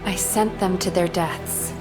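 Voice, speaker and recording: An actress playing a stern, guarded military officer in a video game, speaking in a curt and restrained way. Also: female voice, fairly low pitch, fairly even intonation, measured pace, over music, natural voice. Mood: guilt-ridden. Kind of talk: dramatic video game acting.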